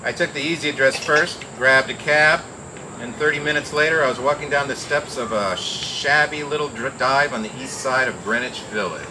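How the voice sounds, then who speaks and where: A man playing a world-weary, wisecracking private detective in radio noir style, reading a little haltingly. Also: mid-range voice, noisy background, natural voice. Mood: brooding narration. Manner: halting read with stumbles and wry asides. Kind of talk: 1940s-style radio noir acting and narration.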